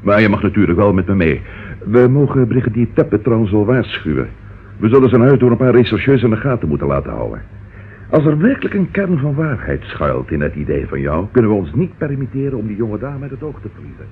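An actor playing a sceptical, commanding police inspector in a radio play, with a wry, authoritative manner. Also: male voice, on the low side, sing-song, fast. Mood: decisive. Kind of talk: old radio play acting. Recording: noisy, phone-like old radio recording.

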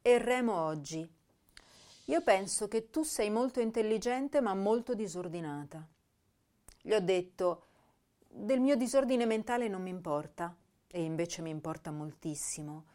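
A woman's voice: medium pitch, measured pace, natural voice. An actress playing an intense, troubled young woman poet of 1930s Italy, reading diaries and verse expressively in a radio drama. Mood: bitter, confessional. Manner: expressive, introspective.

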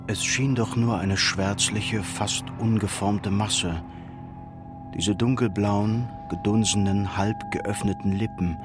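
A man narrating a literary radio drama with grave, measured, dramatic delivery. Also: fairly low pitch, fairly even intonation, over music.

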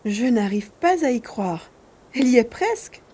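A woman's voice: a little high, sing-song, moderate pace, mellow, slightly rough. A woman playing an eager, stubborn young boy in a dramatised audiobook, speaking expressively and earnestly. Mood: doubtful. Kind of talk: dramatised audiobook, first-person narration and dialogue.

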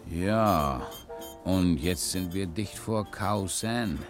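An actor playing a gruff but loyal, plain-spoken boatswain in a 1960s German radio crime drama. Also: male voice, low voice, extremely slow, music in the background. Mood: comradely.

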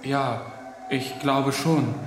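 Withdrawn young swimmer, echoey hall. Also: male voice, medium pitch, fairly even intonation, extremely slow. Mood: dazed, reserved. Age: young adult. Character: dazed, withdrawn young male champion swimmer. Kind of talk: German radio drama acting. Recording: echoey hall, faint music.

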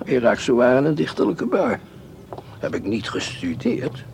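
A man's voice: medium pitch, very animated, normal speed, creaky, background noise. A man acting in a radio play as a scheming, cynical educated doctor who muses darkly to himself. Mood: brooding, poetic.

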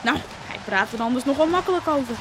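An eager child of about eleven from a fishing island. The voice is high, insistent and ready to argue. It comes through a noisy old radio play recording. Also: female voice, animated, fast talker. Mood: argumentative. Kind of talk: Dutch radio play acting.

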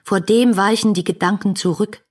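An actress playing an ardent, yearning romantic woman in a literary radio drama, with fervent, lyrical delivery that can turn hushed. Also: mid-range voice, fairly even intonation, normal speed, breathy edge, no echo, clean studio sound, lightly acted. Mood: fervent longing.

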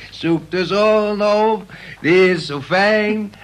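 A man's voice: high-pitched, very slow. An actor playing a jovial, tipsy man in a Dutch radio play, with playful, florid, theatrical phrasing. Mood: drunk, playful. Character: tipsy, jovial man wooing his lady friend. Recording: noisy old radio play recording.

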